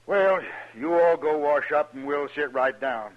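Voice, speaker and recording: An actor with an American accent playing a hearty, hospitable storekeeper in a 1950s radio western. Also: male voice, fairly high pitch, a little slow, heavy background noise, lightly acted. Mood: hearty, welcoming. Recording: dull vintage radio recording.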